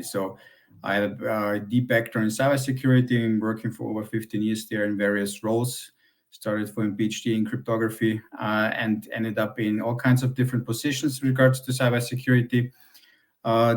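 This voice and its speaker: A man in his forties speaking informally and haltingly as a technology lead on an online panel. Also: medium pitch. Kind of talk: self-introduction on an online panel. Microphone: video call.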